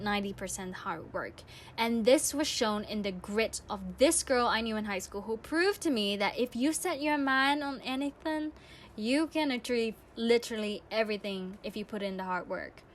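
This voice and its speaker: A woman's voice: on the high side, slight background noise. A young woman hosting a radio-style podcast, telling personal stories to listeners in a reflective, earnest way. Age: young adult. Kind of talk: personal anecdote, monologue to listeners.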